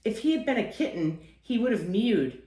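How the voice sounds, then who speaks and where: A woman's voice: fairly high pitch, big pitch swings, moderate pace, mellow, roomy sound. A woman reading a children's picture book aloud as a storyteller, acting out phrases and lingering on key words.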